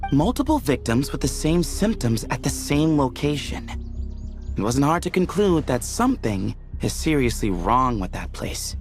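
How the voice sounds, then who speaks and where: A man voicing a laid-back, teasing young temple leader who chuckles and jokes in game cutscene dialogue. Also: mid-range voice.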